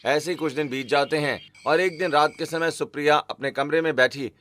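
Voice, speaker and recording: A man's voice: on the high side, a little fast, fairly bright tone, slight background noise. A man narrating a scripted cartoon story as its storyteller. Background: background music.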